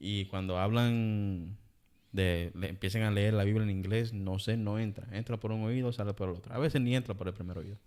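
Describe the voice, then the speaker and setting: A man's voice: on the low side, fairly even intonation, brisk, a little mellow. A man co-hosting a casual podcast, speaking in a plain, wry way. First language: Spanish. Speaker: podcast co-host. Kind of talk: casual chat.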